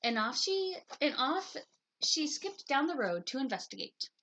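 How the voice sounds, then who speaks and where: A woman reading a children's story aloud in an expressive storytelling style. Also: high-pitched, unhurried, low-quality recording. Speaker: picture book reader.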